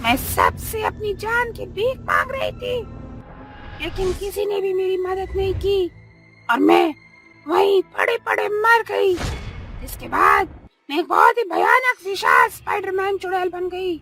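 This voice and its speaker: A woman voicing a vengeful giant spider witch ghost in a cartoon horror story, with a shrill, menacing, dramatic delivery. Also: huge pitch swings, unhurried, fairly bright tone, faint music behind, exaggerated voice. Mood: bitter reminiscing.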